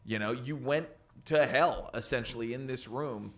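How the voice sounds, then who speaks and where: A man's voice: mid-range voice, normal speed, fairly bright tone. A man co-hosting a casual podcast, giving emphatic, opinionated takes with some swearing. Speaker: podcast co-host. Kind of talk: casual chat, pop culture opinions.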